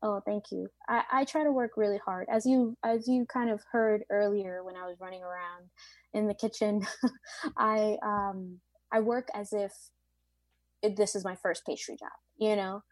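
A woman's voice: mid-range voice, steady tone, normal speed, dry recording, low-quality recording. A woman in her late twenties, a pastry chef being interviewed, speaking earnestly and reflectively with frequent fillers. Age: late 20s. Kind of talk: interview conversation.

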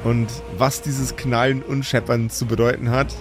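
A man's voice: mid-range voice, flat delivery, moderate pace, music in the background. A man running a live improvised tabletop audio drama as game master, narrating and joking with the players. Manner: playful, stammering in chat. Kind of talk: narration and banter.

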